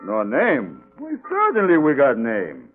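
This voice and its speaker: Brisk ship's captain, expressive pitch swings, patronizing authority, old radio play, narrow-band recording. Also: male voice. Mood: dismissive. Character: dismissive, slyly smooth-talking ship's captain, commanding. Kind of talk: 1940s radio drama acting. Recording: tinny 1940s radio recording, music traces.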